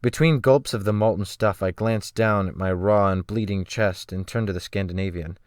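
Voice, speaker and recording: A man's voice: lowish voice, moderate pace, darkish tone, dry recording. A man reading a dramatic first-person audiobook narration, close to the microphone. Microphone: close USB desktop microphone.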